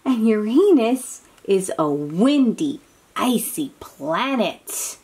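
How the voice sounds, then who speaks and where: A woman hosting a picture-book read-aloud for young children, exclaiming and asking coaxing questions in an animated manner. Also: mid-range voice, slow pace, husky.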